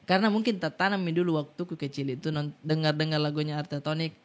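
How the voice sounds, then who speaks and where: A young man with a Makassar accent, co-hosting a casual, animated podcast chat. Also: a little high, fast talker. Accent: Makassar Indonesian. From Makassar, Indonesia. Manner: animated, laughing, slips into put-on voices for quotes. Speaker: podcast co-host.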